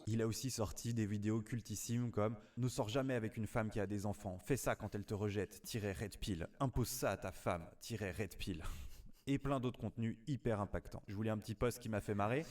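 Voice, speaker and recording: A man's voice: on the low side, brisk, darkish tone. A man reading scripted narration with a dry, sarcastic edge. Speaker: video narrator.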